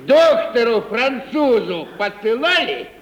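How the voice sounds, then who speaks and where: An actor playing a gossipy, blunt old servant who mutters and hems in folksy speech in an old radio comedy. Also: male voice, extremely high pitch, sing-song, slow, lo-fi sound, heavily acted. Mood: blunt, argumentative. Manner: folksy, muttering, repetitive hemming. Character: grumbling, gossipy old male servant, bluntly truth-telling. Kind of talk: 1950s Russian radio stage comedy acting. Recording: very noisy old 1950s radio recording.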